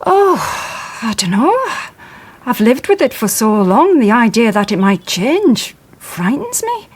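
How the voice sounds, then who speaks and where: A woman's voice: a little high, very animated, takes it slowly, husky, heavily acted. An actress playing a lonely, wary woman who speaks wistfully and anxiously. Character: wary, lonely middle-aged daughter.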